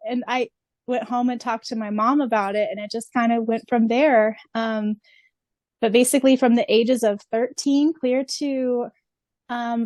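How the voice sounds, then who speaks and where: A woman's voice: on the high side, a little mellow, no room sound. A woman chatting casually on a podcast in an informal, storytelling way as a co-host. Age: 30s or older.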